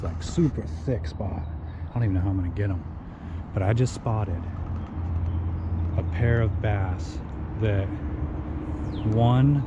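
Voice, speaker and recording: A young man narrating his own fishing trip live at a pond, swinging between hushed, tense whispers and loud, excited shouts, recorded outdoors on a body-mounted action camera. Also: lowish voice, highs cut off, heavy background noise. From Oregon. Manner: hushed and tense while stalking, then yelling with excitement. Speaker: fishing vlogger. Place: outdoors by a pond among bushes. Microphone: head or chest mounted action camera.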